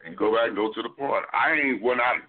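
A man's voice: medium pitch, quick pace. A man co-hosting a casual talk show, telling a story in a loose, laid-back way. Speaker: podcast co-host. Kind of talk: casual chat. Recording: tinny phone-like line.

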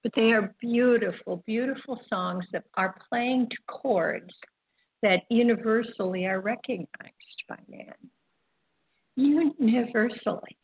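Slow-speaking older woman, phone-like sound. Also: on the high side, husky. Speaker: host of an online spiritual talk radio program. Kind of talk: reflective, informal monologue. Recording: dull, phone-like internet radio audio. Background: music.

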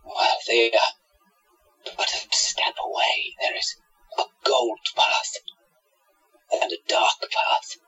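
An actor playing a haughty villainous man under hypnosis in a radio drama, breathy and theatrical, with drawn-out delivery. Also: mid-range voice, wildly swooping pitch, pushed and bright, full character voice. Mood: dazed, drifting. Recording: dull lo-fi audio.

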